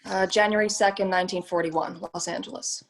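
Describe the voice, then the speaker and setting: A woman's voice: on the low side, fairly even intonation, fairly quick, low-quality recording. A woman playing a stubborn, defensive young team member in a drama read, hesitant and evasive. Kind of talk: scripted drama table read.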